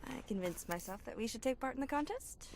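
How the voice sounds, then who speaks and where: An actress playing a timid, wistful young maid in a video game, speaking in a cautious, gently teasing way. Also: female voice, high voice, lively intonation, quick pace, slight background noise. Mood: hesitant. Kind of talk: video game cutscene acting.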